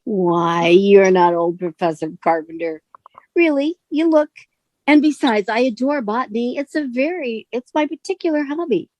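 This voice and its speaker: A woman playing a mellow, lonely bookshop lady. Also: fairly high pitch, moderate pace, dry recording, natural voice. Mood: shy, flattering. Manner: soft-spoken, earnest, flattering. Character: gentle, lonely bookshop lady, shyly admiring and wistful. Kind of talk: radio suspense drama acting.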